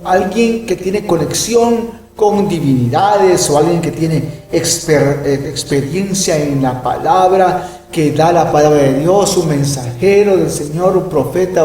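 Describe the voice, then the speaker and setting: Emphatic Bible teacher, echoey room. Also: male voice, a little high, warm tone, far from the mic. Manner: emphatic, peppers speech with "¿verdad?". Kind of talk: recorded Bible lesson. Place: room with closed blinds.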